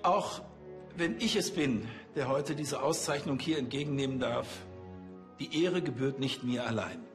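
An actor playing a pompous, self-important senior police officer, giving formal speeches in a TV crime comedy. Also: male voice, mid-range voice, lively intonation, a little slow, music in the background. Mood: solemn, self-satisfied.

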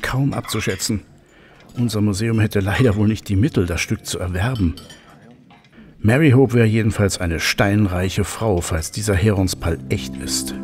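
An actor playing an enthusiastic, learned professor in an audio drama, lecturing with emphatic repetition. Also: male voice, lowish voice, normal speed, a little mellow, slight background noise, lightly acted. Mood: impressed. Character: enthusiastic, learned male professor of old machines. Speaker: technical expert, professor. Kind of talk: German audio drama dialogue.

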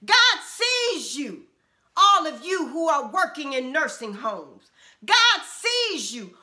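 A middle-aged woman pastor preaching a sermon from a small room at home, with impassioned repeated refrains and drawn-out emphasis. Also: high voice, bright, forceful tone.

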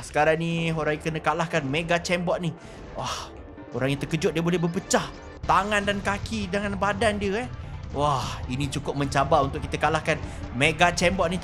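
A Malaysian man hosting a gaming video with excited, exclamatory gameplay commentary. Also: on the high side. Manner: exclamatory, animated reactions.

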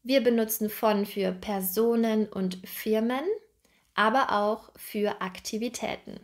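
A young woman teaching a grammar lesson straight to the camera, speaking slowly and clearly and repeating example sentences. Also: mid-range voice, steady tone. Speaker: German teacher. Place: bright indoor room, seated at a table.

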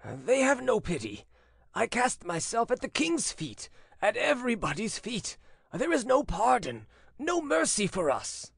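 A man's voice: very high-pitched, wildly swooping pitch, measured pace, vocal fry, a bit bright, breathy edge, no echo, pitched above the performer's own voice, full character voice. A man voicing an anguished, tender old fisherman in his eighties in a dramatic audiobook, pleading and mournful. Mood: bitter despair. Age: 80s. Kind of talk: dramatic audiobook character reading.

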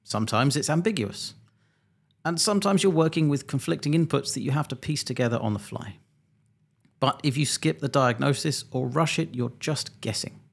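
A man around forty, an airline pilot, presenting a scripted explainer in a measured, methodical, slightly dry way, close to a desk microphone. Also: mid-range voice, animated, creaky, breathy edge. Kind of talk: scripted explainer narration to camera. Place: small home studio indoors.

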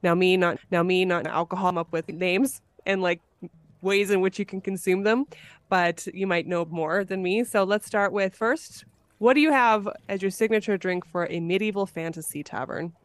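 A woman with a slightly low voice hosts a casual podcast. The sound is very dry, with no room echo, and she talks informally, thinking aloud as she goes. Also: normal speed. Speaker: podcast host.

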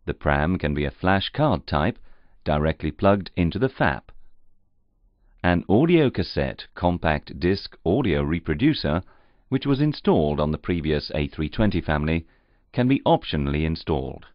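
A man reading scripted instructional narration in a formal, measured, carefully enunciated way. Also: a bass voice, sing-song, no room sound. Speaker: training narrator. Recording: slightly muffled, dull audio.

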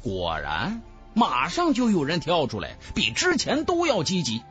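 A male audiobook narrator tells a fantasy tale with dramatic flair. His voice sits fairly high and moves very widely in pitch, with soft music behind him. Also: unhurried. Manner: dramatic, expressive storytelling. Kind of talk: scripted fantasy novel narration. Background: background music.

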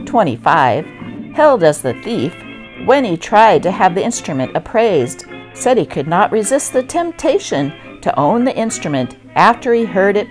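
Female narrator, slightly low voice, expressive theatrical newspaper reading, faint music behind. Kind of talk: scripted narration of old newspaper stories.

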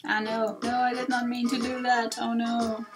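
A woman's voice: a little high, level tone, normal speed. A woman doing casual, self-deprecating video game commentary, often apologetic as she plays. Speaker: let's player. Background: game music.

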